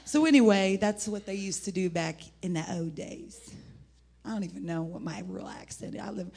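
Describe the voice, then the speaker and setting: A middle-aged woman with an American accent and a husky, gravelly voice, preaching an informal, rambling sermon in an animated, folksy way. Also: mid-range voice, normal speed. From United States (Indianapolis, Indiana). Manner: animated, rambling, folksy, breaking into laughter and exclamations. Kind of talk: informal sermon with personal testimony.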